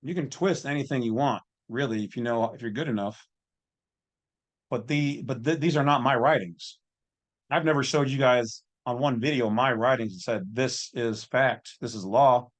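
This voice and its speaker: A man's voice: mid-range voice, normal speed. A man with a mid-range voice hosts his own commentary channel. He talks informally and off the cuff, in a folksy, colloquial way, and states his opinions freely. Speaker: host of an online legal commentary channel.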